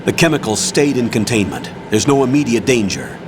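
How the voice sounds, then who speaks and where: An actor playing a stern, businesslike military colonel giving orders in a dramatic video game. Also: male voice, mid-range voice, big pitch swings, fairly quick, background noise, lightly acted. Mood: sober, businesslike. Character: stern male colonel briefing his agent, businesslike. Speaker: commanding officer.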